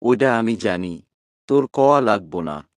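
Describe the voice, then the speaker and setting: A man's voice: on the low side, expressive, unhurried, dry recording, studio quality. A man voicing a brash young female student who teases and scolds in bossy dialect, in an audio story. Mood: scolding. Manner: colloquial dialect, mocking and bossy.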